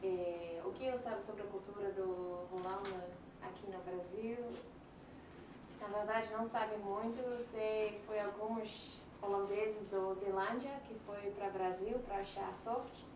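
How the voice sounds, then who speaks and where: A woman from the Netherlands with a Dutch accent, a student speaking to a class in halting second-language speech. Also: mid-range voice, steady tone. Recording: noisy echoey room, far from mic.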